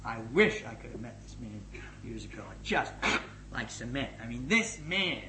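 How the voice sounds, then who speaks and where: Middle-aged man, missions leader, storytelling report, energetic and emphatic, loud-to-hushed swings, echoey room, faint noise. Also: mid-range voice, moderate pace. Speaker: missions leader addressing his organisation. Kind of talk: spoken report to a gathering, storytelling.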